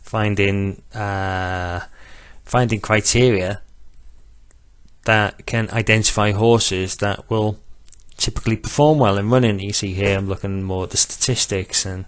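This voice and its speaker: Low-pitched male tutorial narrator, flat monotone, dry close sound. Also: clean tone, dry recording. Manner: self-described monotone, measured with filler pauses. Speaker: betting software demonstrator. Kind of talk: screen-recorded tutorial walkthrough.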